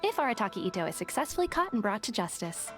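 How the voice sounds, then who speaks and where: A woman's voice: medium pitch, sing-song, quick pace, lightly acted. A woman voicing a shrewd, sly detective. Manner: smooth, sly, deal-making. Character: shrewd adult female detective, businesslike. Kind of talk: game voice acting. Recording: game audio under music.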